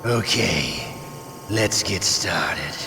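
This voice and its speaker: A man's voice: low voice, creaky, background noise, full character voice. An actor playing a sadistic, theatrical gunslinger interrogator in a video game, taunting and savoring his lines. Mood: sadistic relish. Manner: taunting, drawn-out, savoring delivery. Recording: long hall reverb, faint game music.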